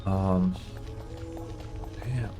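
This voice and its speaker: A man's voice: low-pitched, fairly even intonation, drawn-out words. A man streaming a video game with casual, informal, sweary commentary, game music playing behind him.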